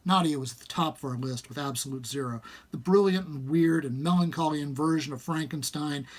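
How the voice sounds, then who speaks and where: A man presenting a book like an enthusiast publisher, part casual pitch and part reading aloud, with expressive, emphatic delivery. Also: brisk. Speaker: small-press horror and fantasy publisher presenting a book.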